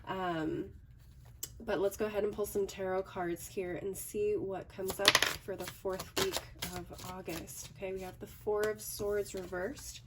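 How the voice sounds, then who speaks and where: Slightly raspy woman reading tarot. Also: medium pitch, fairly even intonation, a little slow, distant mic, very noisy. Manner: thinking aloud with frequent "okay" and "um". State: hoarse from a cold. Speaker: tarot reader.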